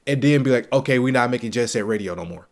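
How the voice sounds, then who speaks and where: A man's voice: medium pitch, expressive, quick pace. A young man with a stuffy, congested voice hosts his own gaming podcast in a loose, slangy way. He keeps the hype going by repeating phrases for emphasis. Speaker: podcast host. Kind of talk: casual solo gaming podcast.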